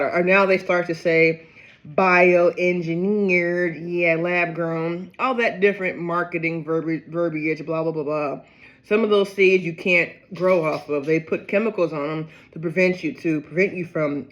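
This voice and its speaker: Man, gardening vlogger, high-pitched, chatty and rambling, tired. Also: lo-fi sound. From Ohio, USA. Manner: chatty and rambling, often breaks into excited exclamations. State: low on energy, tired. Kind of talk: casual chat to camera.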